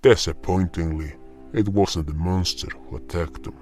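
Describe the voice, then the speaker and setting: A man playing a grim, furry, clawed hunter who narrates a fantasy audio drama in a dramatic, deliberate way. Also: deep voice, close to an everyday voice. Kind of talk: scripted first-person story narration. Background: faint music.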